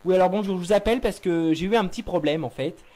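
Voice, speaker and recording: A man's voice: high voice, fast, darkish tone. A young man improvising a prank phone call, feigning seriousness in a hesitant but insistent way. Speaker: prank caller.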